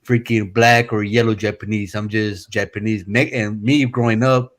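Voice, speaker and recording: A man's voice: lowish voice, moderate pace. A man from Mexico whose first language is Spanish, calling into a live stream and talking casually and bluntly. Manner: relaxed, rambling, blunt with profanity. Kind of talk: casual opinionated chat.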